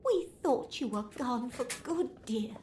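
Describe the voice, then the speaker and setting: A woman's voice: a little high, expressive, takes it slowly, a little mellow. A woman playing a motherly, caring character with a scolding streak in an audiobook, fussing over others. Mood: tender. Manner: fussing, endearing address. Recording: clearly noisy background.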